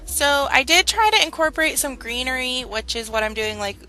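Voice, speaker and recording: A young woman chatting casually over a game build, a little hoarse from a cold, with lots of fillers. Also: high voice, expressive, fairly quick, bright, forceful tone, faint music behind, low-quality recording. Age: young adult. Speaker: Sims builder and channel host. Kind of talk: casual speed-build commentary.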